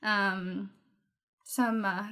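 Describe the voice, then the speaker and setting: A woman's voice: medium pitch, fairly even intonation, extremely slow, sharp tone, clear, resonant tone. A 24-year-old woman from Denmark with a Danish accent, whose first language is Danish, talking openly and laughing easily as a podcast guest. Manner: candid and open, laughs easily. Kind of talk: casual chat.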